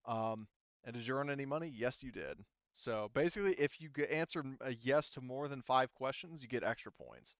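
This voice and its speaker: A man's voice: medium pitch, a little fast, clear, resonant tone, dry recording, lo-fi sound. A man working as game master, casually explaining tabletop game rules in an informal way.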